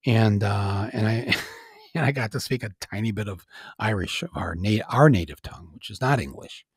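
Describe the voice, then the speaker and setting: A man in his sixties with a gravelly, very husky voice, musing through a loose podcast monologue. He rambles and laughs at himself, filling gaps with "uh" and "um". The sound is close and dry. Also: fairly low pitch, normal speed, dry recording. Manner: rambling, self-deprecating, with chuckles and frequent "uh". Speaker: podcast host. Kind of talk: casual monologue.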